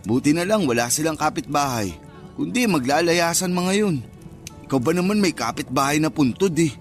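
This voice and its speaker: A man's voice: on the high side, lightly acted. An actor playing a superstitious, easily spooked young man chatting in slang in a radio drama. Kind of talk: radio drama dialogue, casual banter.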